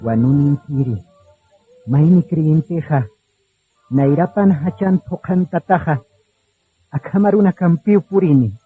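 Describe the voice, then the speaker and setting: A man's voice: fairly high pitch, takes it slowly, pauses often, faint music behind. A man narrating a radio drama in a solemn style, on a narrow phone-like recording.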